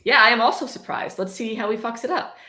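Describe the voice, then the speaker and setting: A woman's voice: a little high, quick pace, pushed and bright. A woman hosting a mocking livestream book reading, sarcastic, irreverent and profane, breaking off into casual commentary. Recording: echoey room.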